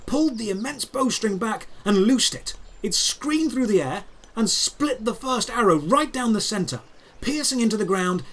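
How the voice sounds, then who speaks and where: A man narrating a scripted first-person fantasy adventure in a dramatic, deliberate, descriptive way. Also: high voice, a bit bright.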